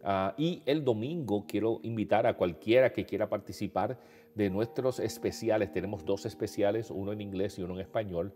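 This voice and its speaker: A man in his fifties with a Puerto Rican accent, speaking as a pastor to his online viewers, sounding nasal after a nose procedure and talking in a relaxed, chatty way with many fillers. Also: lowish voice. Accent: Puerto Rican Spanish. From Puerto Rico. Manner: warm, conversational, addresses viewers as beloved, frequent fillers. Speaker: pastor hosting an online devotional show. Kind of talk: casual devotional talk to viewers. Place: indoors before a holiday backdrop.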